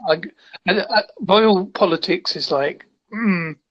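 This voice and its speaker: Husky high-voiced joking man. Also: slow, no echo, muffled recording. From north of Scotland. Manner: jokey, deadpan tall tales. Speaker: podcast guest. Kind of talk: casual chat.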